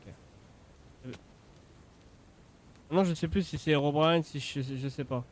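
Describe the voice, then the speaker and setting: A man's voice: fairly high pitch, unhurried. A man giving casual, informal gameplay commentary as a video creator, often repeating and restarting his phrases.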